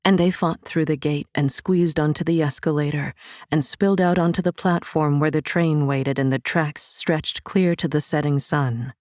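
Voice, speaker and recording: A woman narrates literary fiction in a measured, vivid storytelling manner. Her voice sits low, and the studio recording is close and dry. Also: steady tone, a little mellow, no echo. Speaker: audiobook narrator. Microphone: studio mic.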